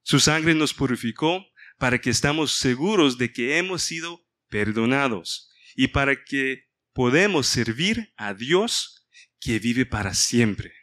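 Bright-voiced man leading a Bible study. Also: medium pitch, lively intonation, slow. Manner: mixes in English words, stops to ask the group for help. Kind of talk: informal Bible study sermon.

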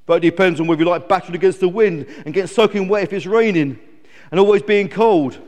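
A man's voice: high voice, fast talker, a little mellow, big-room sound, voice pushed up, lightly acted. A man voicing a grumpy young male eagle who squawks and complains indignantly in a children's story. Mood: whining, miserable.

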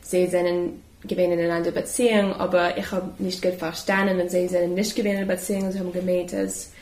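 A woman's voice: on the low side, flat delivery, brisk, darkish tone, roomy sound, low-quality recording. A woman speaking hesitantly in a casual group discussion, stopping often and restarting her sentences. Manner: hesitant, many fillers and restarts.

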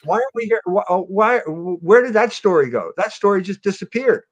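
A man's voice: high-pitched, expressive, brisk. A man giving conversational political commentary, emphatic and repeating himself to drive a point home. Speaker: commentator on a news talk show.

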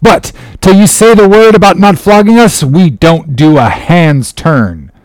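A man's voice: high-pitched, unhurried, no room sound, voice pushed up. A man voicing a defiant, rebellious sailor who leads a mutiny, crying out boldly in a dramatic audiobook reading. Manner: jerking out sentences, crying out boldly.

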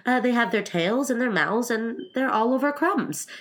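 Storybook young girl read by a woman: courteous, inquisitive, shy, stumbling over words, lively rise and fall. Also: on the high side, normal speed, voice pushed up. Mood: polite, hesitant. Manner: hesitant, stammering. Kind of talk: storybook character reading.

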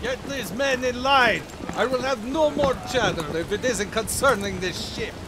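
A man's voice: extremely high pitch, normal speed. A man playing a stern, haughty elven admiral in a fantasy audio drama, barking orders with scorn. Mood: scornful, commanding. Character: stern, haughty male elven admiral, youthful but war-hardened. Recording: storm and rain noise behind. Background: rain and storm at sea.

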